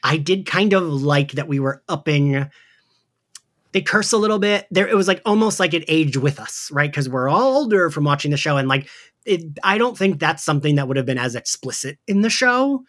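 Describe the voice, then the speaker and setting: A man hosting a podcast, chatting casually and informally with lively delivery. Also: fairly high pitch, normal speed. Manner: animated, informal. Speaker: podcast host. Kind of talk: casual fan podcast chat.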